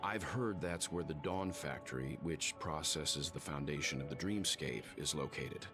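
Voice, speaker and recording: An actor voicing a grave, measured man in a game who tells a solemn story. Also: very deep voice. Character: solemn man telling a homeland parable. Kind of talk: game voice acting. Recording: game audio with music underneath.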